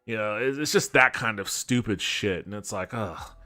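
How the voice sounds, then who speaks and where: A man's voice: lowish voice, normal speed, sharp tone. A man giving casual, critical commentary as a podcast host, with a skeptical, sarcastic way of talking. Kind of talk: casual critical commentary, reading web copy aloud. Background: background music.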